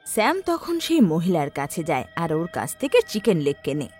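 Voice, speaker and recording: A woman's voice: fairly low pitch, big pitch swings, normal speed, a little mellow. A woman narrating a scripted horror story in a dramatic storytelling style. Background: background music.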